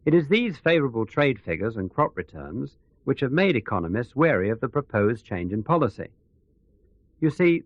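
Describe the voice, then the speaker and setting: Animated, sceptical actor as male economics pundit. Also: on the low side, no room sound, dull audio. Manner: considered, explanatory. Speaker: expert commentator. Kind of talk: radio news interview analysis.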